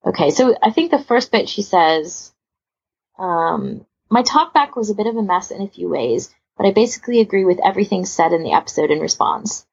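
A woman's voice: medium pitch, lively intonation, brisk, gravelly, slightly rough, lo-fi sound. A woman co-hosting a podcast in casual discussion, with a conversational, hedging, agreeable manner. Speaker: podcast co-host. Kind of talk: casual discussion, reading out listener comments.

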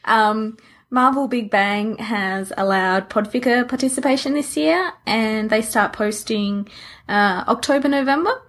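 A woman with a husky voice and a wry, joking manner, chatting casually as a podcast co-host. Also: on the high side, measured pace, a bit bright.

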